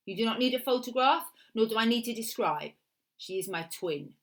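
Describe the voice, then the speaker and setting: A woman voicing a fragile, bitter Italian woman of thirty who hires a killer, with an Italian accent and formal, deliberate phrasing. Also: a little high, animated, voice pushed up, close to an everyday voice. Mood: assured.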